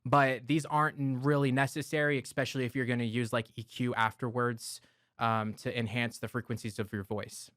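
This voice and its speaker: A man of medium pitch walks through studio gear in a relaxed, step-by-step way, with the odd filler word. He talks close into a broadcast mic in a home studio, and the sound is dry with no room echo. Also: a little fast. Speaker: audio gear reviewer. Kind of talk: explanatory gear walkthrough. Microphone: close broadcast dynamic mic.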